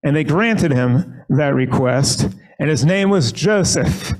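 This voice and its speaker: A man serving as rabbi, leading a synagogue service and telling a short story in an earnest, measured way, speaking at a lectern microphone in a sanctuary. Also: on the high side, lively intonation, low-quality recording.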